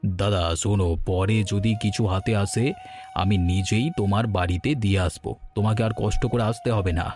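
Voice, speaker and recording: A man's voice: fairly low pitch, fairly even intonation, brisk, a little mellow, faint music behind, lightly acted. A man playing a poor village fisherman in a cartoon story, apologetic and keen to justify himself. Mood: apologetic, sympathetic.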